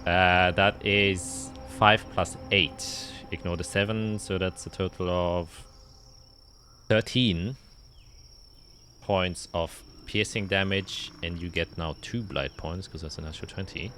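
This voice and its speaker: A man's voice: low voice, steady tone, takes it slowly, sharp tone, faint music behind. A man narrating a tabletop roleplaying game as game master, describing scenes and calling out dice results.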